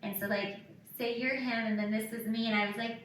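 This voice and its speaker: Bright high-voiced woman in an echoey room. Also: brisk. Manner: animated, acts out quoted remarks. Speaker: podcast host telling personal stories. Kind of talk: casual chat, candid anecdotes. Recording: echoey room, distant mic, faint noise.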